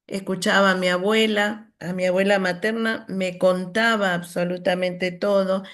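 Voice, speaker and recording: A woman leads a workshop. She talks in a reflective, unhurried way and tells stories as she goes. She often adds a questioning "¿no?" to check in with her listeners. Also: medium pitch, level tone, a bit bright. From Argentina. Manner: reflective, storytelling, many "¿no?" tags. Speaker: workshop leader. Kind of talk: online workshop presentation.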